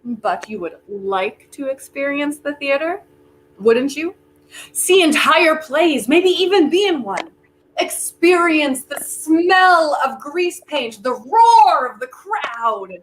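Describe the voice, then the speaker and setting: Casting: woman as genial, boastful older magnate, stagey and persuasive, unhurried delivery, comic play reading, faint noise on the line. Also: high-pitched, expressive. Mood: coaxing. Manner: theatrical, coaxing, wry. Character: genial, self-important aging theater-loving tycoon bargaining with Death. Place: remote virtual reading. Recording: noisy online video call audio.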